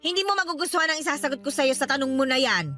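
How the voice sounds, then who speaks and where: Bitter older mom in a radio drama, really high bright voice, sarcastic and needling. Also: female voice, rapid-fire, faint music behind. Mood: confrontational, bitter. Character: resentful, sarcastic older mother needling her son's partner. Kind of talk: Tagalog radio drama acting.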